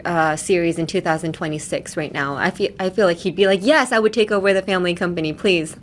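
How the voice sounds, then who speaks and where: Chatty woman podcast host. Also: on the low side. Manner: chatty and rambling, with tangents. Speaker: podcast host teaching phrases. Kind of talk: casual language-lesson podcast.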